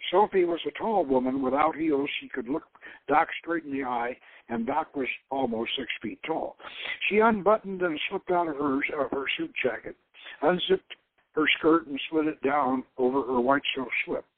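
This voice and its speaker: A man narrates a novel in a dramatic storytelling style. His voice is somewhat high, and he occasionally stumbles over a phrase. It sounds dry and narrow, as if over a phone. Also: moderate pace, dry recording. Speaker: host reading his own novel.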